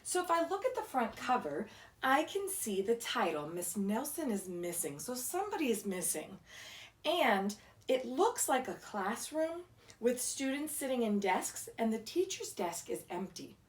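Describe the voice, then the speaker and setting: A woman's voice: fairly high pitch, sing-song, a little slow. A woman reading a children's picture book aloud like a teacher, explaining simply and putting questions to a young listener. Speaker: read-aloud storyteller, teacher. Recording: echoey room, distant microphone.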